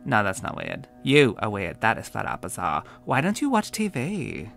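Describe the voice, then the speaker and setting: A man's voice: fairly low pitch, wildly swooping pitch, moderate pace, vocal fry, fairly bright tone, soft background music, full character voice. A man voicing a catty, mocking teenage schoolgirl who drawls and stresses her words. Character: catty mean-girl teen schoolgirl.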